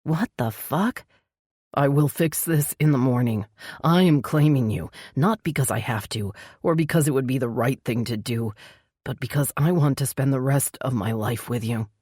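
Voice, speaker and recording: A low, growling male vampire lover acted by a woman narrator, possessive and quick to anger. He insists forcefully and stubbornly, in dramatic romance audiobook style, with a dry, close sound. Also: husky, no room sound. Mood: angry, incredulous.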